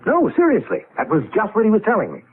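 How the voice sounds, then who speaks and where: A disillusioned older partner in a 1970s radio play, sardonic and emphatic. His voice is rough and breathy, with very wide swoops in pitch. It sounds boxed-in, like vintage radio. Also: huge pitch swings, fast talker, full character voice. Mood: cool, pointed. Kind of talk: 1970s radio drama acting. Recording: muffled vintage radio broadcast.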